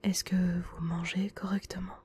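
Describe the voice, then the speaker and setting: A woman playing a gentle, attentive male school nurse in an ASMR roleplay, whispering breathily in a reassuring, hushed way. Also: on the low side, level tone, moderate pace, a little hiss or hum, natural voice. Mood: caring, attentive.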